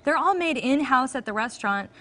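A woman's voice: sits high, lively intonation, background noise. Upbeat woman chef on a TV set. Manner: upbeat, explanatory, brisk. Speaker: guest chef. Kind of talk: cooking demonstration on TV. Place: TV news studio kitchen set.

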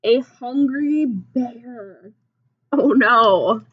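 A woman in her twenties reading a picture book aloud like a teacher, expressively, pausing to put simple questions and explanations to young children. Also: fairly high pitch, slightly rough, dull audio. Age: 20s. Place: small classroom, indoors.

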